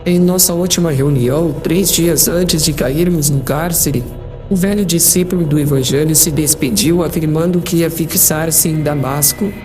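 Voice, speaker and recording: A man's voice: fairly high pitch, moderate pace, close to an everyday voice. A man playing a humble, miserable young prisoner, meek, fearful and mournful, in a dramatized audiobook. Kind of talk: dramatized audiobook acting in formal language. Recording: music behind.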